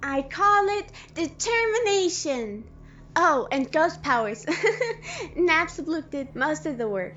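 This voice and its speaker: A woman voicing a plucky, loyal young monster child in an audio drama, cheeky and excitable, on a dull microphone. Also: high voice, slow pace, exaggerated voice.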